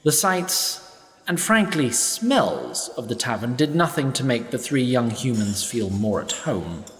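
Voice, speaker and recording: A man's voice: medium pitch, big pitch swings, measured pace, a bit bright, reverberant, in a large hall, recorded from a distance. A man narrating a fantasy audio drama as a wry, ancient sprite, with dry asides to the listener.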